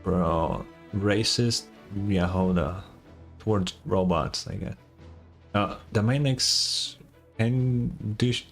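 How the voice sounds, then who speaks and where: Low-voiced, casual male game streamer. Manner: laid-back, slangy, swears. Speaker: livestreamer. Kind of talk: casual game commentary, reading on-screen text aloud.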